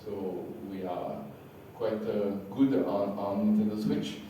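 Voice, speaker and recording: A man from France with a French accent, slightly sick and losing his voice, giving a conference talk with halting, repeated words. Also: lowish voice, steady tone, warm tone. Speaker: conference speaker. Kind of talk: conference presentation. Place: large hall. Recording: echoey hall from far off, faint hiss.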